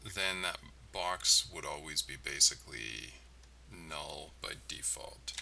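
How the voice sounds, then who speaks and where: A man giving casual commentary as he codes on a livestream, thinking aloud in halting phrases. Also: deep voice. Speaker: game developer, livestream host. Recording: clearly noisy, distant mic, keyboard clicking. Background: typing, mouse clicks, steady noise.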